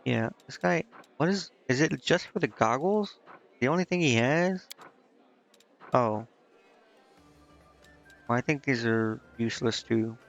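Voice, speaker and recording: A man's voice: mid-range voice, lively intonation, unhurried, creaky, long pauses. A man casually commenting on a video game he is streaming, thinking aloud and reading bits of on-screen text. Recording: slightly dull lo-fi mic, game music behind.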